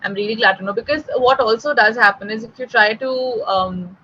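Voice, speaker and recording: A woman's voice: a little high, fairly quick, sharp tone, breathy edge, dull audio. A young woman from Delhi with a Delhi Indian English accent, tutoring spoken English in a conversational online lesson and often checking in with "right?".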